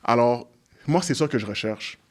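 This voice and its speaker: An English-speaking man from Montreal talks through his views in French as a guest. He stops and restarts often as he hunts for the right words. Now and then an English phrase slips into his sentences. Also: medium pitch. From Montreal, Quebec. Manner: halting, restarts often while searching for words. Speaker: guest, community organization leader. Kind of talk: conversational interview.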